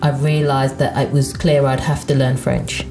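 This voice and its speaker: A woman's voice: very deep voice, level tone, moderate pace, dark tone, faint music behind. A woman co-hosting a casual chat, talking emphatically and dropping slangy asides. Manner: emphatic, uses slang like "point blank". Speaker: podcast co-host.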